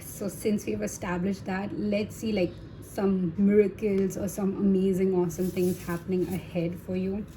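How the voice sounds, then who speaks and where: Woman, tarot reader, native Hindi speaker; casual, reassuring chat, mid-pitched mellow tone. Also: slight background noise, rough recording. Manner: chatty, reassuring, many fillers and tag questions. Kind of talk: casual tarot reading to viewers.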